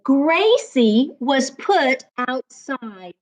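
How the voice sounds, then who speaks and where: A woman reading aloud and teaching as a storytime reading coach, with deliberate, emphatic phrasing meant for young listeners. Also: high voice, sing-song. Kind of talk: expressive children's read-aloud and teaching.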